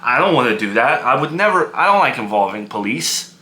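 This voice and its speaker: A man's voice: mid-range voice, very animated, brisk, bright, forceful tone. A man playing an aggrieved, defensive divorced young father, agitated, repeating himself and stammering over his words. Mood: defensive, pleading.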